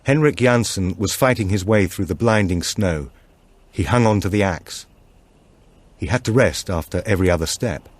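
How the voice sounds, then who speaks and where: Low-voiced man narrating a thriller. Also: expressive, no room sound. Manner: measured, deliberate storytelling. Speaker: audiobook narrator. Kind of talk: scripted narration of a supernatural thriller.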